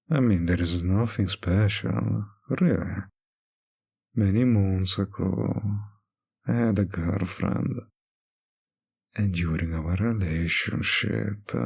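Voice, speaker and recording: Casting: male actor as a suave old vampire barkeep for audio roleplay, low-pitched and husky, languid and teasing. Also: a little mellow, heavily acted. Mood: wistful. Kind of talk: intimate scripted roleplay addressed to the listener.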